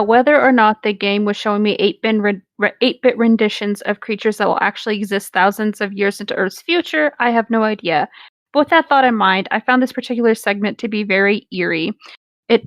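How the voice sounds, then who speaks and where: A woman's voice: mid-range voice, fairly quick, no room sound. Woman reading aloud, close dry sound. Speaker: co-reader on a group creepypasta reading. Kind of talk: story read aloud with casual asides.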